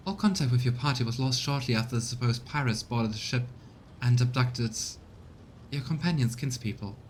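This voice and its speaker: A man's voice: medium pitch, slight background noise, natural voice. A man reading as a deferential, formal ship's steward. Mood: grave, apologetic. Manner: courtly, measured, apologetic. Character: deferential high factotum making grave formal reports.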